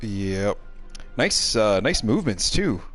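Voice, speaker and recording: Guy with a lowish voice grumbling thru a game stream, swings up and down, music behind him. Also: very animated, slow pace. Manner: sarcastic, grumbling. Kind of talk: casual game commentary with a co-host. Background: video game music.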